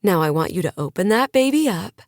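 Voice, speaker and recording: A woman's voice: medium pitch, expressive, brisk, no echo, studio quality, close to an everyday voice. A woman voicing a peppy influencer on stage. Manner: projecting, preachy and peppy. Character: upbeat woman influencer giving a motivational talk.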